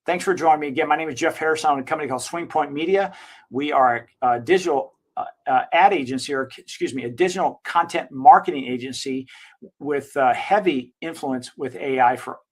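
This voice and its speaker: A man who owns a digital marketing agency, giving an enthusiastic, rambling software walkthrough and correcting himself as he goes. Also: brisk. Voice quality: slightly higher-pitched voice. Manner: enthusiastic, recommending. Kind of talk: casual screen-share software walkthrough.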